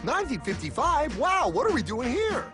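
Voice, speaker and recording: A man's voice: very high-pitched, huge pitch swings, normal speed, full character voice. A man voicing a naive, bewildered cartoon everyman with a hesitant, whiny, stammering way of talking. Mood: amazed. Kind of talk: cartoon voice acting. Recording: music behind the voice. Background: background music.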